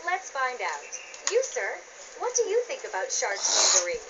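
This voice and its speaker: A woman voicing a brisk, perky fish TV reporter giving a punchy mock live news report in a video game. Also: a little high, wildly swooping pitch, noisy background. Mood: perky, probing.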